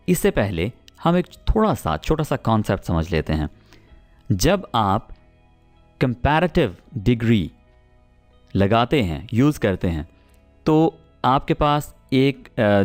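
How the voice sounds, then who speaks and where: A Pakistani man gives a spoken English lesson, taking his time over each example sentence. His pitch rises and falls a lot as he checks his students are following, and faint music plays behind him. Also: a little mellow. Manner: explains patiently, repeats example sentences slowly and checks in with "theek hai?". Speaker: English teacher.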